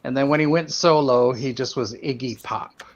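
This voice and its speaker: A man's voice: medium pitch, moderate pace, lo-fi sound. A middle-aged man co-hosting a casual drawing stream, playful and teasing, full of music trivia. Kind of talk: casual chat while drawing.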